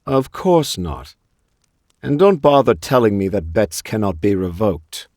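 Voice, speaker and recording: An actor playing a calm, shrewd noble strategist in a video game, speaking in a measured, wry, self-assured manner. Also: male voice, on the low side.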